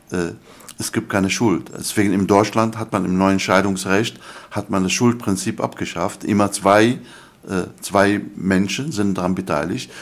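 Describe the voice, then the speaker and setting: An older man, a scholar answering interview questions in an earnest, lecturing way, often halting with fillers. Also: fairly low pitch. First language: Arabic. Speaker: guest scholar.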